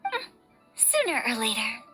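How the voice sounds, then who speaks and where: A woman's voice: a little high, huge pitch swings, a little slow, over music. A woman playing a playful, cheeky young funeral parlor director in a video game, with teasing, breezy sales patter. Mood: playful, proud.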